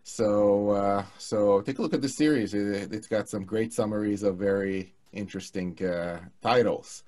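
A man, co-hosting a casual academic chat on a video call, halting with many restarts. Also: on the low side, a little mellow. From Hungary. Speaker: political scientist, co-host. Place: home studio room. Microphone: headset mic on video call.

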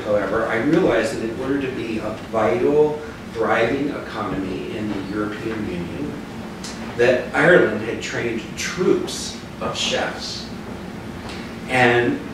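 Male minister, sixties, reflective storytelling sermon, unhurried halting delivery, heavily reverberant distant recording. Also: mid-range voice. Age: 60s. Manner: deliberate, halting phrasing. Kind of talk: reflective sermon with personal anecdote. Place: living room with small group, indoors. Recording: very echoey room, distant mic, noisy.

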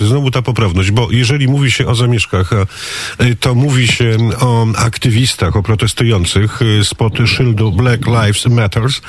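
A male radio host leads a conversational interview. His voice sits at an average pitch and sounds dry, with no room echo. He phrases his questions deliberately, in halting pieces.

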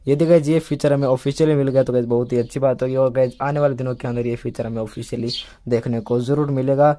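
A man's voice: mid-range voice, steady tone, quick pace, dark tone. A man hosting a tech video, explaining things casually and repetitively as he keeps addressing viewers as friends. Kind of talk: casual smartphone update explainer.

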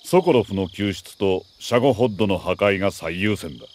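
An actor playing a middle-aged army major who briefs an agent in a crisp, authoritative, deliberate way in a video game. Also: male voice, sits low, expressive. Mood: grave, commanding. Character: male military major, mission commander, precise and earnest. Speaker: mission commander briefing an agent.

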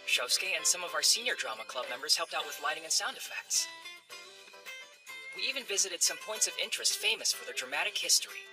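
A woman voicing a polite, earnest teenage club member who also narrates in a formal way, in an animated series. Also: fairly low pitch, fast talker, over music, close to an everyday voice, low-quality recording. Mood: composed narration.

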